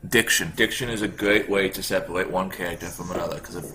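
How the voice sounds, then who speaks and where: A man's voice: low voice, fairly even intonation, fast talker, bright, forceful tone, rough recording. A man hosting a podcast in a casual, conversational style. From Canada. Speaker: podcast host. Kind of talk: casual chat. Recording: slight background noise.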